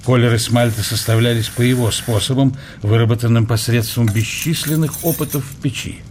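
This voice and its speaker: A man narrating a literary radio drama in an expressive scripted reading. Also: mid-range voice, brisk, slight background noise.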